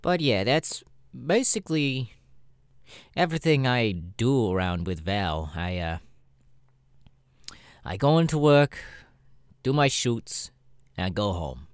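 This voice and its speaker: Up close and dry, a man plays a sassy, cynical demon showman in an ASMR roleplay. His pitch swings widely as he drawls through casual, irreverent chat with hesitant pauses. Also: sing-song, clean tone, no room sound. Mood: defiant, smug. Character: sassy, cynical male demon adult performer.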